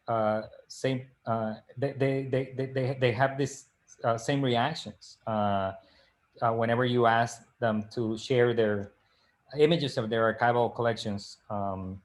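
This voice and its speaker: A man's voice: mid-range voice, fairly even intonation. Hesitant middle-aged man from the Dominican Republic. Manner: halting, many "uh" fillers and restarts. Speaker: librarian and co-host. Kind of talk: casual live-stream discussion. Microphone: video call.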